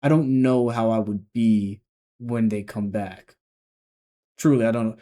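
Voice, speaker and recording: A man's voice: fairly low pitch, measured pace, mellow. A man in his twenties gives casual reaction commentary, thinking aloud and restarting his sentences. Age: 20s. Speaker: reaction video host.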